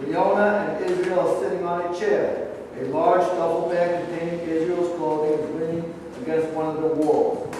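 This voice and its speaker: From a distance in a reverberant hall, a man with a high voice reads stage directions. The scripted narration is plain and deliberate. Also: level tone, warm tone. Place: live staged reading in reverberant room. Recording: echoey hall, distant mic, faint noise.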